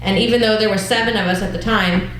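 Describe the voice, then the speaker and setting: Woman in her thirties, church group leader giving a candid testimony, mid-pitched voice, big reverberant hall, faint hiss. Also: steady tone, quick pace, a bit bright. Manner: earnest, conversational, frequent ums. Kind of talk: personal testimony talk. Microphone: wireless mic that cuts out.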